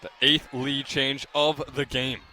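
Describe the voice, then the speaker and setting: A man's voice: mid-range voice, level tone, takes it slowly, pushed and bright, some chatter behind, slight background noise. A young man giving conversational, emphatic color commentary at a live basketball game. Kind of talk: live sports analysis, conversational. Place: courtside at indoor basketball game.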